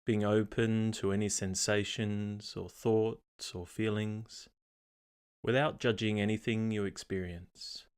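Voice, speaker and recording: A man reading a scripted guided meditation in a calm, unhurried, measured way, pausing between phrases. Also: on the low side, smooth.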